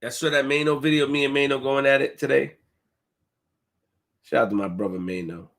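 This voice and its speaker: A man's voice: medium pitch, fairly even intonation, a little fast, fairly bright tone. Man hyping his livestream chat. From Haitian family roots. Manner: hypes viewers, repeats phrases, reads donations aloud. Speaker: livestream host.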